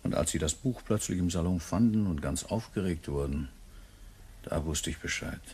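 An actor playing a shrewd, cool blackmailer in a German crime radio play. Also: male voice, deep voice, moderate pace, a little mellow, slightly rough. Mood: sly, guarded.

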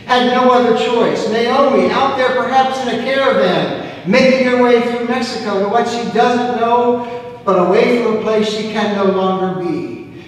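Older pastor preaching in an echoey sanctuary. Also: male voice, very high-pitched, measured pace. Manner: storytelling, gesturing, dropping to a hush on key phrases. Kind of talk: sermon. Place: church sanctuary, at the pulpit. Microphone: far camera, room mic. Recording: echoey church hall from far off.

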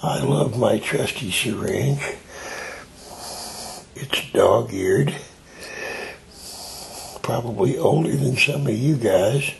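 An older man with a raspy, deeply gravelly voice walks through a craft technique as he does it. He talks in an unhurried way, explaining each step while he works. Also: low-quality recording. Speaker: tie-dye hobbyist demonstrating. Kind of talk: slow hands-on craft demonstration.

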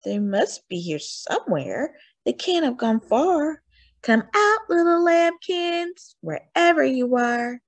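A woman's voice: sits high, very animated, slow pace, pitched above the performer's own voice, full character voice. A woman voicing a sly, greedy old male wolf in a storybook, with a gloating, theatrical manner.

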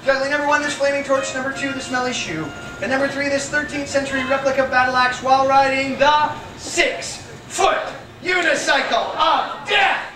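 A man performing a comedy juggling act on stage, teasing and coaching an audience volunteer with energetic showmanship. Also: extremely high pitch, moderate pace, far from the mic, background noise. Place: ship theatre stage before a live audience. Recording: echoey live show taped from afar. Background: loud music and a crowd laughing.

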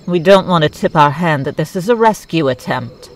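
Rescue leader, played by a woman: tough female warrior, low dry voice, sardonic, insect ambience behind. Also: animated, moderate pace, slight background noise. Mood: brisk, dry. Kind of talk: fan audio drama acting.

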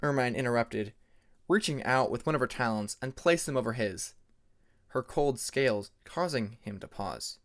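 Male narrator with animated intonation. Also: medium pitch, normal speed. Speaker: audiobook narrator. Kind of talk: scripted story narration.

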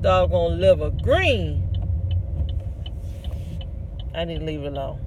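Woman, forties, chatty and rambling, car rumble heavy under her voice. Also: animated, moderate pace. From United States. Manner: rambling, chatty, talks to viewers as "y'all". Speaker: vlogger. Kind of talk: casual chat while driving. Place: inside a car. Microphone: phone on the dashboard. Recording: distant phone mic with loud car rumble.

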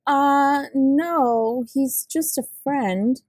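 A woman's voice: high-pitched, extremely slow, a little mellow, dry recording, voice pushed up. A woman voicing a polite, puzzled child in a picture book, earnest and a little hesitant. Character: puzzled, polite child searching for friend Art.

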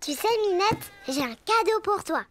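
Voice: extremely high pitch, lively intonation, moderate pace, noisy background. A performer voicing an eager, tender little boy bear cub in a preschool cartoon, with simple childlike exclamations. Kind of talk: French preschool cartoon acting.

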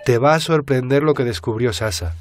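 An actor playing an earnest, casual investigative journalist in a radio drama, colloquial and explanatory. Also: male voice, medium pitch, animated, a little fast, faint music behind, lightly acted. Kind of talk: naturalistic Spanish radio drama dialogue.

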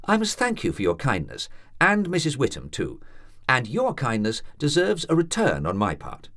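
A man's voice: mid-range voice, huge pitch swings, normal speed, pitched above the performer's own voice. A man reading aloud and voicing a polite, cheerful young male student in a storybook. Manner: bright, courteous.

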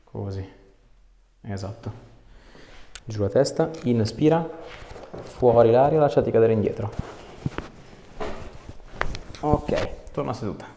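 A man's voice: medium pitch, unhurried, long pauses, mellow. A man in his thirties, a chiropractor in a small treatment room, giving a patient short, informal hands-on instructions. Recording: roomy echo, clear background noise, clicks.

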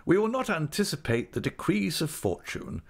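A man doing a pompous, florid gentleman. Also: fairly high pitch, very animated, normal speed, vocal fry, husky, pitched above the performer's own voice, heavily acted. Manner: grandiloquent and ornate.

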